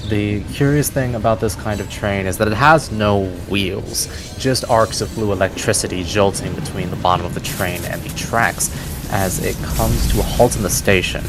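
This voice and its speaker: A man acting as game master, narrating scenes descriptively for a tabletop game. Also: fairly low pitch. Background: background music.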